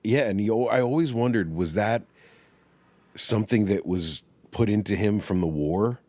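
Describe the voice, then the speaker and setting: A man co-hosting a casual film podcast, animated and profane, laughing often. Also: lowish voice, normal speed, dry recording. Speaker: podcast co-host.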